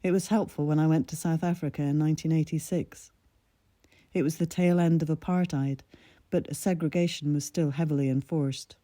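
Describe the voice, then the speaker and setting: A woman in her sixties from Scotland, with a mid-Atlantic accent carrying a Scottish lilt, reading her own personal essay in a measured, reflective way. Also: deep voice, fairly even intonation, dark tone, dry recording, clean studio sound. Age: 60s.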